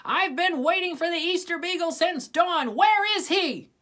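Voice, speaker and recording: Woman doing an indignant little girl, very high. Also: animated, measured pace, a bit bright, full character voice. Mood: fuming. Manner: scolding, exclamatory. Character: dramatic, indignant cartoon little girl who scolds.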